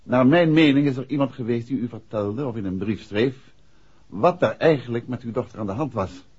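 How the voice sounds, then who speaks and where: An actor playing a shrewd, assured gentleman detective in a 1960s Dutch radio play, with a deliberate, probing delivery. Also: mid-range voice, husky, lightly acted. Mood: probing, insistent. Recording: dull, muffled vintage radio recording.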